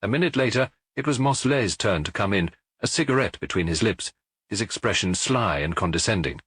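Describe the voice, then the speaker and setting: A man narrates a detective audiobook with a very lively, moving pitch. His voice has a husky grain and is recorded dry, in a scripted, dramatic storytelling style. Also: brisk, dry recording.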